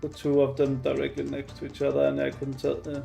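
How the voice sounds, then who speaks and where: A man giving casual game commentary as a streamer, musing to himself in a halting way. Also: medium pitch, monotone, vocal fry, warm tone, soft background music. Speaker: gaming streamer.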